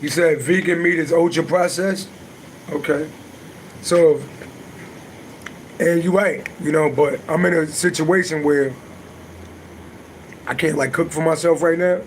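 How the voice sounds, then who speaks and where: A middle-aged man with a naturally raspy voice, chatting loosely and profanely on a livestream and answering viewers' comments. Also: fairly even intonation, measured pace, long pauses, slight background noise, poor audio. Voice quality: deep voice. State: voice a little worn from singing. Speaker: livestream host and astrology reader. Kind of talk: casual livestream chat.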